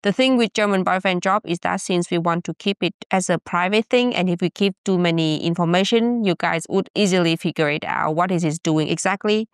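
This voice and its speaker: A woman's voice: lowish voice, a little fast, few pauses, smooth, no echo, studio quality. A young woman from Vietnam with a Vietnamese accent, hosting a podcast and asking questions in a playful, teasing way. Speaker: podcast host. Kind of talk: casual chat.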